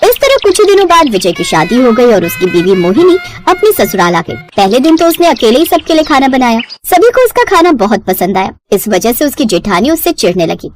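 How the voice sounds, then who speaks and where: High-pitched, animated woman storyteller. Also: fairly quick, few pauses, over music. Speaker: story narrator. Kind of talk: scripted storytelling narration for a cartoon.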